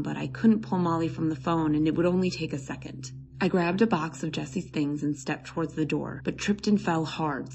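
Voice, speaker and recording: A woman's voice: low voice, creaky, darkish tone, a little hiss or hum. A woman storyteller giving a scripted first-person narration of a scary story.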